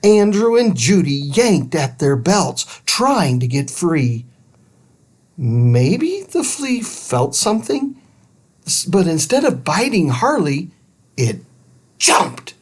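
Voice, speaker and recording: A man reading a children's adventure book aloud in an expressive, dramatic style, stretching words for suspense. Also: on the high side, takes it slowly, slightly rough. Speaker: storybook reader. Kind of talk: expressive children's audiobook narration.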